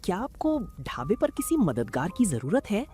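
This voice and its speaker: A woman voicing an eager, obedient young village man, polite and earnest, in an animated story. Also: medium pitch, moderate pace, faint music behind. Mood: respectful, eager. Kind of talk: Hindi animated story dialogue.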